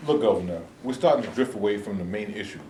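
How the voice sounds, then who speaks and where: A man's voice: lowish voice, quick pace, dark tone, a little hiss or hum, close to an everyday voice. A man playing a solemn, preacherly reverend. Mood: stern. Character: stern, righteous male reverend and protest leader. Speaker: actor in a staged reading. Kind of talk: stage play reading. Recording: roomy hall from a distant mic.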